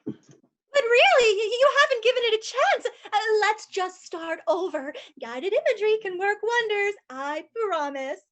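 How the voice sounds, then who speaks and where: Woman voicing a chirpy relaxation therapist, very high voice, lively swings, soothing, pleading. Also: a little slow, heavily acted. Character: relentlessly upbeat female relaxation therapist. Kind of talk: livestream script reading.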